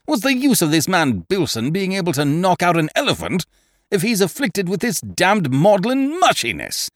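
A man voicing a blustering male schemer who booms and rants in exasperation. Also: huge pitch swings, normal speed, no room sound, studio quality. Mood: exasperated. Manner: booming, exasperated ranting. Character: blustering loud male schemer, booming and indignant.

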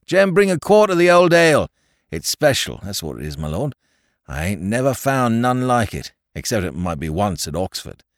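A man putting on a cheery Cockney landlord in his fifties who chatters eagerly in a lively, bouncing voice, with dropped grammar and a raised pitch. The recording is dry and close. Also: normal speed, no room sound. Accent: London Cockney. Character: cheery Cockney male landlord, about fifty-five.

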